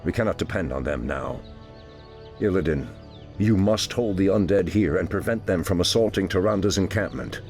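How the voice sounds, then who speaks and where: A gravelly, commanding, stern warrior leader. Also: male voice, sits low, normal speed, a little mellow, soft background music. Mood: distrustful, grave. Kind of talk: video game cutscene voice acting.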